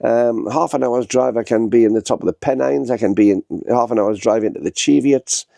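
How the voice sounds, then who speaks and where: A man, his voice thick and blocked up from a head cold. His tone is dark and gravelly, and it sounds close and dry. He rambles chattily to the camera and keeps restarting his sentences. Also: medium pitch, a little fast, dry recording. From northern England. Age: 60s. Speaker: vlogger. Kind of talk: casual talking-to-camera vlog. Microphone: small handheld camera.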